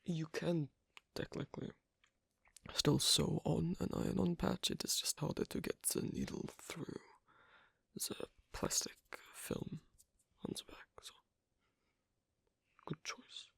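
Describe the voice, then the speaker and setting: A performer voicing an asocial, reticent werewolf in an ASMR roleplay, speaking haltingly in a breathy, husky half-whisper. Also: fairly even intonation, a bit bright, no room sound, clean studio sound, heavily acted. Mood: quietly explaining. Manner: halting, hesitant, low-key. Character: asocial, reticent young werewolf on a sewing date.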